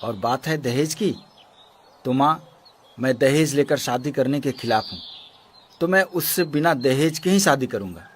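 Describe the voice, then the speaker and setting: A man's voice: mid-range voice, lively intonation, measured pace, slight background noise, lightly acted. A man playing an earnest, resolute young engineer and devoted suitor in a moral story drama. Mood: earnest, firm. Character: earnest, lovestruck young male engineer, firm-minded son. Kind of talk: Hindi moral story voice acting.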